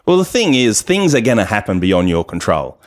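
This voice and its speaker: A man with a fairly low voice hosts an interview. He talks in a conversational way, framing topics and passing questions to his guests. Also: big pitch swings, a little fast. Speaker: radio show host.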